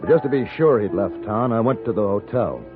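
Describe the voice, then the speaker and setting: An actor playing a cool, commanding, wry American gentleman gunfighter in a 1950s Western radio drama. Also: fairly low pitch, expressive, brisk, lightly acted. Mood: measured narrating. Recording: phone-like old radio recording, music.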